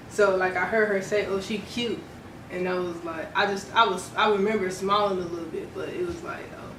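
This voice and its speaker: Young American woman chatting in a noisy, echoey room. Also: fairly low pitch. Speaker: guest storyteller. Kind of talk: casual storytelling chat. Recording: roomy, noisy, distant mic.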